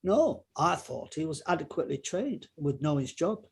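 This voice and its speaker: A man's voice: fairly high pitch, very animated, normal speed, creaky, breathy edge, heavily acted. A man playing a terse, guarded riverboat captain under questioning in a courtroom drama table read, giving clipped, unyielding answers. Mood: guarded, defensive.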